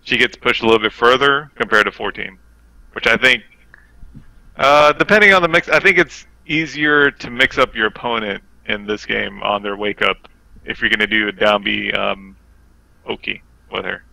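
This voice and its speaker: A man's voice: lowish voice, normal speed, vocal fry, bright, forceful tone, dry recording, rough recording. A man casually discussing a fighting game, explaining things in detail as a guest on a talk show.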